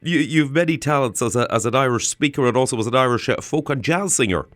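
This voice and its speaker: A male radio host with a measured, slightly halting delivery. He sounds close and dry, introducing and questioning a guest in an interview style. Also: medium pitch, lively intonation, no echo.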